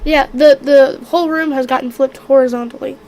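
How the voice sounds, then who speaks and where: A woman running a tabletop game on stream, halting and clearing her throat as she narrates while sick and losing her voice. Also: high voice, steady tone, darkish tone. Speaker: game master.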